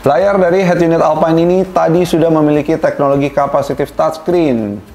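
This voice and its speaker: A man's voice: fairly high pitch, fairly even intonation, fairly quick, a little mellow, soft background music. A man presenting a product review casually and informally, speaking directly to viewers. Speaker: product reviewer, video host.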